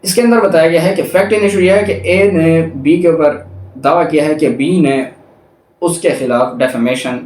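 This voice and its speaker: A man with a Pakistani accent giving an explanatory law lecture, reading legal text deliberately and explaining it point by point. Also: a little high, a little mellow. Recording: roomy echo, distant microphone.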